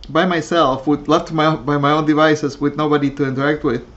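A woman who grew up speaking Russian, hosting an interview over a video call in a measured, reflective way. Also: low-pitched, steady tone. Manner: measured, reflective, thinks in analogies. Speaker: host. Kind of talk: interview conversation. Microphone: webcam on a video call. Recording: dull lo-fi video call audio.

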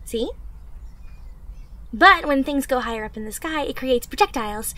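A woman's voice: high-pitched, animated, normal speed, sharp tone. A woman playing an upbeat, encouraging teenage girl superhero trainee in an anime fan roleplay, coaching the listener directly in a bubbly manner. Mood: encouraging, explaining. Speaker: audio roleplay performer.

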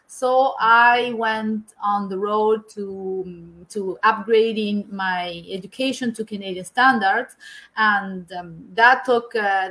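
Halting woman. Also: mid-range voice, a bit bright, low-quality recording. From Argentina. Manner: hesitant, many repeated words and "you know". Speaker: guest, engineer. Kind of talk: video-call interview. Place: home room indoors. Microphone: video call.

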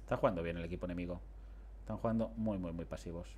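A man's voice: deep voice, steady tone, very fast, warm tone, a little hiss or hum. A man, commenting casually on his game through a headset mic, chatty and self-mocking. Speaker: game streamer. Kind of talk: casual live commentary on gameplay.

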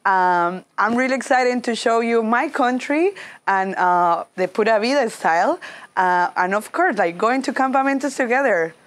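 A woman's voice: a little high, lively intonation, normal speed. Young woman from Costa Rica, hesitant. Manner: warm, hesitant with fillers. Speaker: project director. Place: outdoors in a garden. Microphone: lapel mic.

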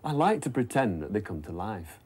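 A man's voice: on the low side, big pitch swings, fairly quick, a little mellow, husky. A middle-aged man presenting for young children, thinking aloud and addressing viewers simply as he tells stories. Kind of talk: gentle scripted chat to young children. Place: wood-panelled narrowboat cabin.

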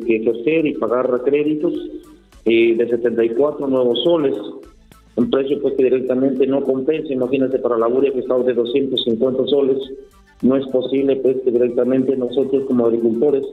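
A Peruvian rice farmer speaking in a phone interview, hesitant, with many fillers and restarts. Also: male voice, medium pitch, steady tone, brisk, faint music behind. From Peru. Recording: echoey tinny phone line.

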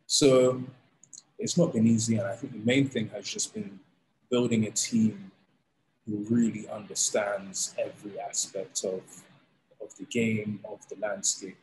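Relaxed man, low voice. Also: measured pace, slight background noise, poor audio. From England. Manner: relaxed, animated storytelling with fillers. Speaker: founder of a fighting league, guest. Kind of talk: casual interview. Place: indoors, plain room. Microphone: studio mic on arm, video call.

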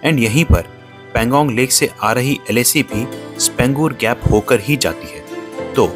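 A man with an Indian accent narrating a road-trip travel video in scripted voice-over, moving between Hindi and English. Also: medium pitch. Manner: mixes Hindi and English, steady storytelling. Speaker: travel vlogger.

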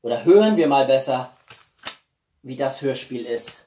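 A man in his forties chatting casually as a video blogger, with halting speech and enthusiastic asides. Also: medium pitch, animated, mellow. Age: 40s. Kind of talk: casual chatty vlog presenting gifts. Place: small living room, indoors. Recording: roomy echo, distant microphone.

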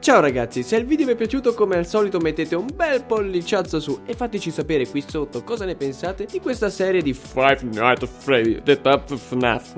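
Animated, higher-pitched male channel host. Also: normal speed, few pauses, faint music behind. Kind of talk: casual outro announcement.

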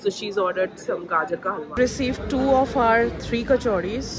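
A woman's voice: medium pitch, expressive, a little fast, over music, low-quality recording. A young woman from Bengal, stuffy with a cold, chatting enthusiastically about food and sights in a travel vlog, filmed on a phone at arm's length in busy streets. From Bengal, India. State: nasal from a cold. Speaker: travel vlogger. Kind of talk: casual travel vlog with voice-over narration. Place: busy city streets and cafe. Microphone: phone held at arm's length.

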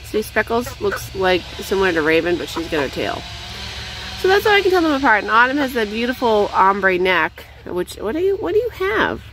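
A young woman chatting casually while she feeds her backyard chickens, rambling and cooing at them in a high voice, recorded on a handheld phone outdoors. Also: big pitch swings, normal speed, a bit bright, soft background music, rough recording. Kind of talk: casual off-the-cuff vlog chat.

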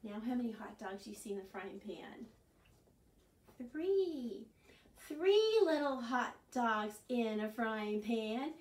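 A woman in her sixties presenting a children's storytime, expressive and often prompting the children with questions. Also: fairly high pitch, takes it slowly. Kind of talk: children's storytime, singsong chanting and read-aloud. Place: small furnished living room, indoors. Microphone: camera a few metres away. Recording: roomy, distant camera microphone.

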